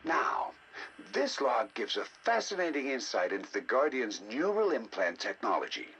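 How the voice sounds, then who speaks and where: An actor playing a learned, eager researcher, narrating game audio logs in a measured, articulate, intrigued manner. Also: male voice, mid-range voice, a bit bright, slight background noise, low-quality recording. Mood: fascinated, eager. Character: learned male researcher, eager and fascinated by alien ruins.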